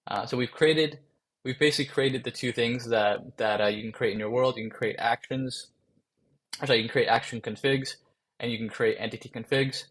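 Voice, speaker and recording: Male workshop presenter, mid-pitch, gravelly and a bit worn, relaxed screen-share walkthrough. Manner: casual, many fillers and restarts. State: voice going rough from a long day of calls. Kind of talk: screen-share coding tutorial.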